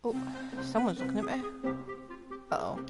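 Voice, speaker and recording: A woman's voice: a little high, moderate pace. A woman game streamer giving casual live commentary, talking to herself in short reactions. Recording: game music behind the voice. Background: game music.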